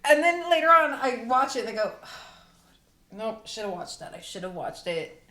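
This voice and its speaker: A woman actor in a casual group chat, speaking in a halting, self-effacing way. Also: a little high, sing-song, sharp tone. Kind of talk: casual round-table chat. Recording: echoey room.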